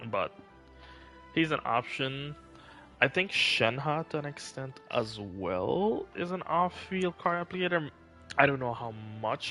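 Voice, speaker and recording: A man's voice: medium pitch, bright, forceful tone. A man chatting casually on a live game stream, rambling and thinking aloud as he goes.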